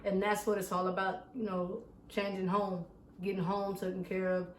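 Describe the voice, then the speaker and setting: A woman with a medium-pitched voice hosts a casual interview and talks in a relaxed, slangy way. The room is echoey. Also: moderate pace. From San Bernardino, California. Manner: relaxed, slangy, laid-back. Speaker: interviewer host.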